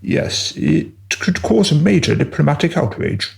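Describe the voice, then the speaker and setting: An actor playing a deferential, long-suffering royal adviser in a comic radio play, formal and hesitant with dry disapproval. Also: male voice, a little high, very animated, moderate pace, gravelly, exaggerated voice. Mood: tactful, exasperated.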